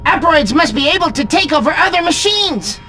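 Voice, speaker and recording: A woman voicing an eager young male toad mechanic, squeaky and earnest, in a video game. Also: fairly quick, noisy background. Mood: alarmed, eager. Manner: excitable, earnest. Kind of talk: video game cutscene acting. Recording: game music underneath.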